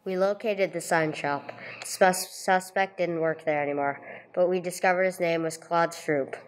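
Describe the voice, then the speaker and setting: Woman playing a low-voiced, businesslike police sergeant. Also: fairly even intonation, moderate pace, slight background noise, lightly acted. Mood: dry, narrating. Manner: terse, clipped, matter-of-fact questioning. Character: male police sergeant, businesslike, narrating his own case. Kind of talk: 1950s police radio drama acting, amateur church production.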